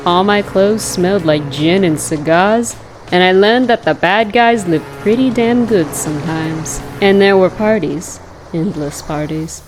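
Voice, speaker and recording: A woman playing a bitter former journalist turned vengeful villainess, telling her story in a theatrical, sardonic, deliberate way. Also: on the low side. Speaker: comic dub voice actor. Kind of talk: dramatic first-person narration. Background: background music.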